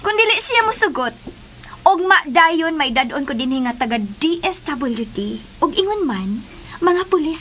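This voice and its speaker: A woman playing a jealous, demanding, vindictive lover in a melodramatic radio drama, with a forceful, imperious manner. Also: sits high, sing-song, unhurried, a little hiss or hum, exaggerated voice. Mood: threatening. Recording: music under the voice.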